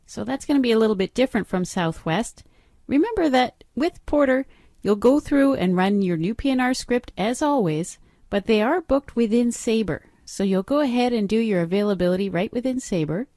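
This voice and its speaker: A woman's voice: fairly high pitch, animated, moderate pace, dark tone, no echo. Dry, matter-of-fact woman trainer. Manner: step-by-step instructional, matter-of-fact. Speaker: software trainer. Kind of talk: screen-recorded training walkthrough.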